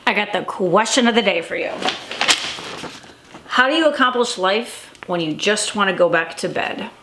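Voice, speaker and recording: A woman vlogger chats straight to camera, rambling through fillers and restarts in a mid-pitched voice. She sounds a little stuffy, and the room around her rings with echo. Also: expressive, moderate pace, noisy background. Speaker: vlogger and coach. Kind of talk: casual daily vlog.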